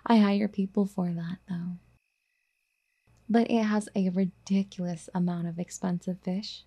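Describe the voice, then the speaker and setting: A woman playing a doting, possessive rich girlfriend in an ASMR roleplay, soft-spoken and coaxing, often slipping into whispers. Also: medium pitch, steady tone, measured pace, slightly rough. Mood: boastful.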